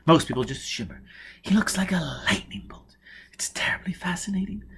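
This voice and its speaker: A man voicing a sheltered, excitable young upper-class woman in an audiobook, breathless and dramatic. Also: fairly high pitch, normal speed, husky, in a whisper, pitched above the performer's own voice, exaggerated voice. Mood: breathless excitement. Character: sheltered, excitable rich young blind daughter, enthralled by danger.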